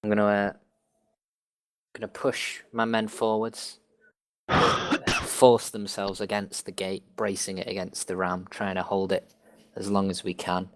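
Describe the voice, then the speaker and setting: A man playing a tabletop game over voice chat, calmly laying out tactics at length. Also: on the low side, measured pace, pauses often, rough recording. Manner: laid-back, explains tactics at length. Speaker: player.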